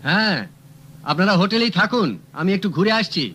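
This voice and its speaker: Lead: male actor as a sharp, confident detective, crisp and dry, old hissy radio-play recording. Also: high-pitched, fairly quick, natural voice. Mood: calm. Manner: crisp, confident, dryly witty. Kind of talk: vintage radio drama acting.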